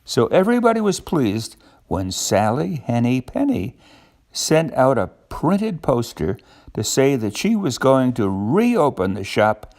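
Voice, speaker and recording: An older man with a gravelly voice reads a children's storybook aloud in an expressive, unhurried way. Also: mid-range voice. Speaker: storybook narrator.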